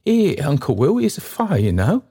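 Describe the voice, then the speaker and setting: A man voicing an excitable, kind-hearted old male porter who bustles about breathlessly and keeps repeating himself. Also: fairly high pitch, normal speed, husky, voice pushed up, heavily acted. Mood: bustling, eager.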